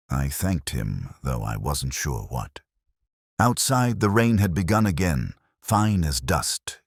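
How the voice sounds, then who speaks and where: A man narrating a first-person detective story in a measured, literary, brooding manner. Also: rumbling low, slightly rough, dry recording, clean studio sound.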